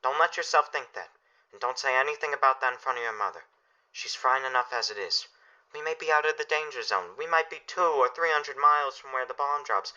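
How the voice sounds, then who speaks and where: The sound is dull and band-limited, and the voice is forceful and very bright. An actor plays a family father in a radio play, giving firm orders and steady reassurance under pressure. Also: mid-range voice, brisk. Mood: stern, urgent. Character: steady, commanding family father preparing for disaster. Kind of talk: radio drama acting.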